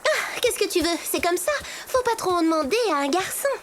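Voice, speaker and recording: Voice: very high-pitched, lively intonation, brisk, background noise. An actor voicing a bold, spirited young female wolf cub in a French cartoon, feisty and challenging. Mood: indignant. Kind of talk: animated cartoon dub, French. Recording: loud music behind.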